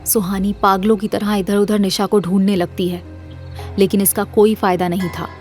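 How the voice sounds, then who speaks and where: A woman's voice: medium pitch, little pitch movement, normal speed, darkish tone, faint music behind. A woman narrating a scripted audio drama as its storyteller.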